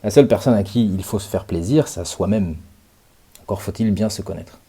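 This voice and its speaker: A man in his late thirties giving a reflective, measured monologue to his viewers, pausing often and stretching out words. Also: lowish voice, mellow, breathy edge. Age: 30s. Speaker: channel host.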